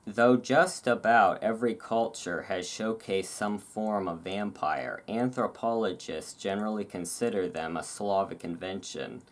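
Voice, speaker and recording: A person reading aloud in a relaxed way with casual, rambling asides, halting and self-deprecating about it. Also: sits low. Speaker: reader and host. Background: thunderstorm ambience.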